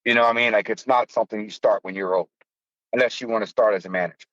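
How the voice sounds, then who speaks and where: A man's voice: on the low side, fairly even intonation, quick pace, a bit bright, no room sound, muffled recording. A man in his fifties from the United States, a podcast host telling a personal story in a blunt, profane, self-mocking way. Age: 50s. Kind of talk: casual storytelling.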